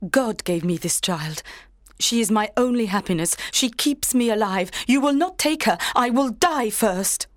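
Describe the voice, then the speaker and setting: A woman's voice: on the high side, expressive, measured pace, dry recording. Actress as defiant mother, emotional, insistent, dry studio sound, storybook drama. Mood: desperate pleading. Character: defiant, desperate mother pleading to keep her child. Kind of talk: dramatized audiobook acting.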